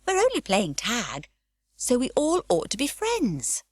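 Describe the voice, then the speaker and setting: Woman putting on a cheeky fearless little girl, high voice, super lively, dry close sound. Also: measured pace, no echo, full character voice. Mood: gleeful. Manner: bold, gleeful and carefree. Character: wild cheerful girl, nine, fearless.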